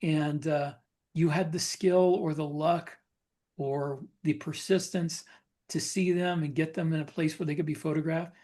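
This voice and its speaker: A man's voice: on the high side, steady tone, unhurried, warm tone. Reflective man in casual conversation. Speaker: podcast co-host and writer. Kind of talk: casual chat.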